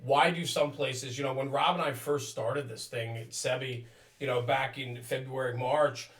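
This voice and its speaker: Man, American accent, podcast host, bright punchy voice, mid pitch, explanatory, casual interview talk. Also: fairly even intonation, moderate pace. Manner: explanatory, circles back to his point.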